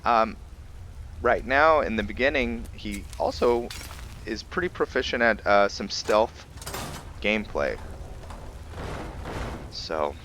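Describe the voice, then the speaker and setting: A man with a very low voice hosts a roleplay game playthrough. He comments casually and thinks aloud as he goes. Game ambience and noise sit clearly behind him. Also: expressive, takes it slowly, long pauses, a bit bright. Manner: talks to himself, thinking aloud. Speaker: gamer hosting a roleplay playthrough.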